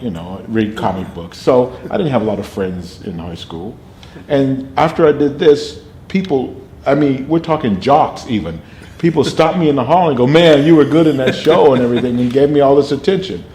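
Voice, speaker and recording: A booming older man tells his stories with animated energy, often breaking into laughter. His voice sits at a middle pitch and sounds roomy, with faint noise beneath it. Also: normal speed. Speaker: actor and drama teacher. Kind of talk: interview storytelling.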